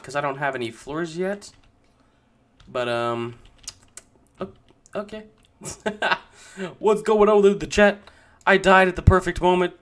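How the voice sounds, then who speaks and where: A young man casually commenting on his own gameplay, chatty and excitable, talking to his viewers. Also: high-pitched. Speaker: gaming YouTuber.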